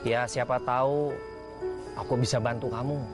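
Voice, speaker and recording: An actor playing a friendly, excitable young village man in a TV crime reenactment. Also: medium pitch, normal speed, over loud music, close to an everyday voice. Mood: concerned, friendly. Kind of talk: dramatized crime reenactment acting.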